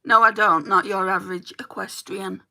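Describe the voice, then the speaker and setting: A woman's voice: mid-range voice, fairly even intonation, moderate pace, creaky, bright, forceful tone. A woman with a Lancashire accent chatting casually with viewers while doing her makeup and answering their comments. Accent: Lancashire English. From Lancashire, England. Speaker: beauty streamer.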